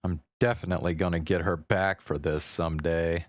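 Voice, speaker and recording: A man reading visual novel narration aloud in a measured way, with the odd stumble. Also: low voice, fairly even intonation.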